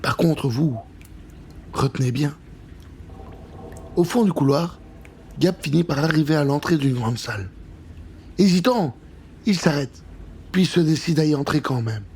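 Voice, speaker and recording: A man narrating a scripted children's adventure story in an expressive, suspenseful way. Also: on the high side, a little slow, pauses often, husky.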